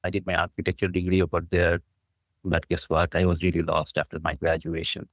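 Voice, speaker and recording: A man's voice: deep voice, completely flat delivery, fairly quick, dry recording. A middle-aged man from Bangladesh with a Bangladeshi accent, earnestly telling his story as an interview guest in a conversational way. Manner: earnest, appreciative, frequent "like, you know". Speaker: podcast guest, business school dean.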